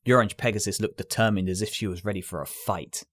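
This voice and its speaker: A man's voice: lowish voice, steady tone, fast talker, a bit bright. A man reading scripted story narration as the narrator. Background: music.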